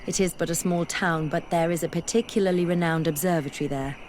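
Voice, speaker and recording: Low-voiced, earnest young woman scholar, acted. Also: fairly even intonation, fast, darkish tone, slight background noise, close to an everyday voice, poor audio. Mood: wistful, curious. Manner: earnest, articulate. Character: keen, curious young woman scholar of plants and animals. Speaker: companion character. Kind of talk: fantasy game dialogue acting.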